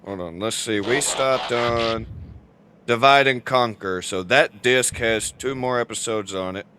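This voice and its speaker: A man's voice: mid-range voice, measured pace, background noise. Man explaining casually on a livestream. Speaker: livestream co-host and guest reviewer.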